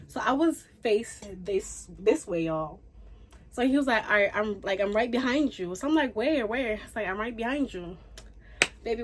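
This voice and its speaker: A young woman from Haiti chatting casually through a storytime, animated and full of fillers. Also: mid-range voice. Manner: chatty, animated, says "like" and "y'all" a lot. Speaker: YouTuber.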